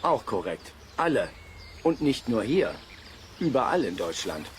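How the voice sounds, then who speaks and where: Snooty stern hunter lord, formal and curt, very up and down intonation, kids radio play, slight noise. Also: male voice, mid-range voice, sing-song, a little slow. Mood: condescending, curt. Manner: pompous, curt, condescending, formal. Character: haughty, stern aristocratic forest owner and hunter. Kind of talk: German children's audio drama.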